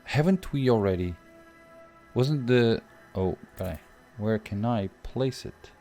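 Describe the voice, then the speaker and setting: A man's voice: mid-range voice, expressive, slow pace, mellow, soft background music. A young Portuguese man with a Portuguese accent, thinking aloud through a strategy game on stream and chatting with viewers. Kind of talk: live gameplay commentary.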